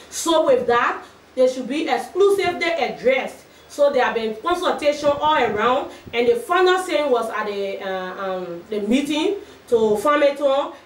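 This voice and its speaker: Heard from across a small, echoey office, a middle-aged Liberian woman answers reporters in a fairly high, firm voice. She sometimes restarts a phrase before she settles on her point. The far-off camera pickup adds plenty of room sound. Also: fairly bright tone. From Liberia, Nimba County. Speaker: advocacy group spokesperson. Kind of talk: press conference answers. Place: small office with shelves, indoors. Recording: roomy echo from a distant camera.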